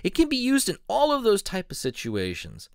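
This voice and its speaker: A middle-aged man from southeastern Pennsylvania giving a playful, animated casual video lesson, laughing often. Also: high voice, moderate pace, vocal fry, clean tone, no echo, studio quality. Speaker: YouTube language teacher. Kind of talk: casual vlog-style lesson.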